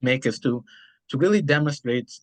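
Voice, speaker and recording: A man's voice: medium pitch, moderate pace, no echo. A man from Ghana giving an earnest academic lecture, with frequent restarts.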